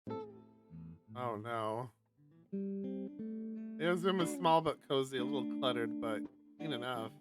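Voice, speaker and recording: A man's voice: medium pitch, takes it slowly, long pauses, loud music behind the voice. Chatty man streamer reading a game. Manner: animated, chatty asides. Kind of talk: reading a visual novel aloud with asides.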